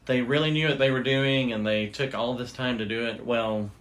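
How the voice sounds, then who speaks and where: A man's voice: medium pitch, steady tone, moderate pace, fairly bright tone. A man with a mid-range voice talks as a podcast host. He explains things in an informal, conversational storytelling style, phrasing them loosely as he goes. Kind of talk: casual storytelling chat.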